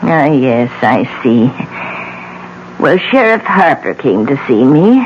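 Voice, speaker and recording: An actress playing a weary, haunted older widow in a 1970s radio drama, speaking haltingly and repeating words. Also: female voice, extremely low pitch, big pitch swings, heavily acted. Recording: muffled, faintly noisy old radio broadcast.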